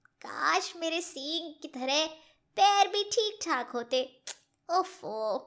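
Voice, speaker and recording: A proud, self-admiring doe from a children's cartoon fable, acted out by a woman. The voice is extremely high and unhurried, drawn out with little sighs and hums. It speaks like someone very pleased with herself. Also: sing-song, a bit bright, full character voice. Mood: displeased, self-pitying. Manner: self-admiring, slow, sighing and humming. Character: vain, proud female deer admiring her antlers. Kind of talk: children's animated fairy tale character voice.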